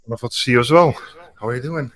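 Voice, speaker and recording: A man's voice: mid-range voice, very fast. A man, a guest on a video call, introducing himself in short clipped sentences. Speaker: guest, technical recruiter. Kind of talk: casual video-call chat.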